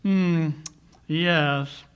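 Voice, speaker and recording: Guy doing a cool brainy english detective from an old radio mystery, dry and musing, slightly high voice. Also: steady tone, extremely slow, clean tone. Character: coolly confident, analytical English master detective. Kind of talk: 1940s radio drama acting, performed live.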